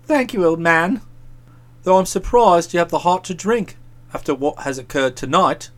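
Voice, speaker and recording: A man voicing an aggrieved, aloof young English gentleman in a storybook, speaking with cold, pompous sarcasm. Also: high-pitched, big pitch swings, normal speed, voice pushed down. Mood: cold, reproachful.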